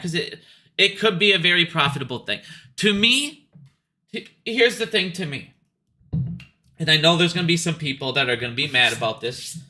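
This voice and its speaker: A man with a very bright, hard-edged voice that sits a bit high. He talks off the cuff about money and business in a blunt, emphatic way, swearing freely and hammering his points by restating them. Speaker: retail investor commentator. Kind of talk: casual opinionated monologue about business.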